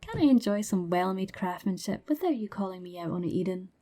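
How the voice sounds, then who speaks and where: A woman's voice: medium pitch, lively intonation, fairly quick, dark tone, natural voice. A woman playing a blunt, dry huntress goddess in an ASMR roleplay audio drama. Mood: dryly exasperated. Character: blunt, dry Norse huntress goddess, enthusiastic about weapons.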